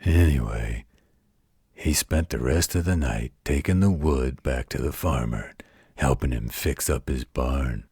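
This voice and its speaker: An extremely low, heavily rasping werewolf played by a man, gruff but gentle and protective. He speaks in a folksy, unhurried way, as in a bedtime roleplay audio, close and dry. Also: no echo, exaggerated voice. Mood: storytelling. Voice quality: deep, gravelly, husky. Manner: folksy, slow, patient drawl with colloquial turns.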